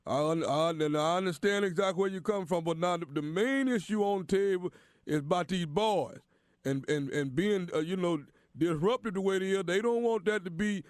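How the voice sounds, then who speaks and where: Officious church deacon played by a man, high voice, halting, folksy delivery for a prank call, dry sound. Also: dry recording, natural voice. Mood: insistent, placating. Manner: halting, repeats words, folksy. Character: bumbling, stammering church deacon, officious and apologetic prank caller.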